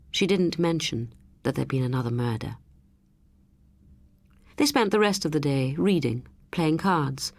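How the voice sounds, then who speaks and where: A woman reading a suspense story as an audiobook narrator, in an expressive, measured storytelling manner. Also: low-pitched, dry recording.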